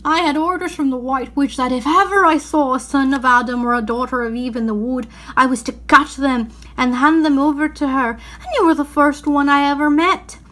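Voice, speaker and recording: An anxious, gentle faun, played by a woman reading a storybook, pitched high. He pleads and confesses dramatically, full of remorse. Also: steady tone, moderate pace, few pauses. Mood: remorseful, distressed.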